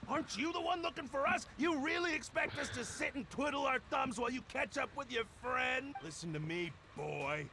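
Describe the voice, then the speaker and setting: A man playing a belligerent, taunting debt-collector thug with a gravelly voice in a video game. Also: extremely high pitch, animated, moderate pace, hardly any pauses, noisy background. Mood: indignant, aggressive. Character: blustering male debt-collecting gang thug, mocking and indignant. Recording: game music under the voice. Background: game music.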